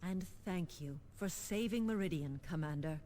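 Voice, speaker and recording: An actress playing a composed, businesslike planetary governor sending an official message in a video game. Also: female voice, on the low side, moderate pace, mellow, slight background noise, natural voice. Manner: formal, businesslike.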